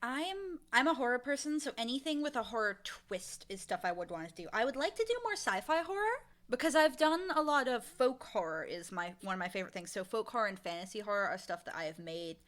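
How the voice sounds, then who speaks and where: A woman speaking as an interview guest, casual and enthusiastic, with an animated, emphatic way of talking about creative work. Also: on the high side, fairly quick, hardly any pauses. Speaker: interview guest, audio drama creator and showrunner.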